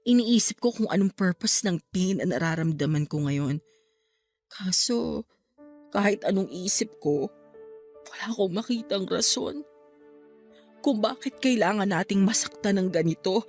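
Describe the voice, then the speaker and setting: A woman's voice: medium pitch, lively intonation, unhurried, pauses often, darkish tone. An actress playing a grieving, devout young woman in a radio-style drama, sorrowful, reflective and pleading as she questions her faith. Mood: somber. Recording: music bed behind voice.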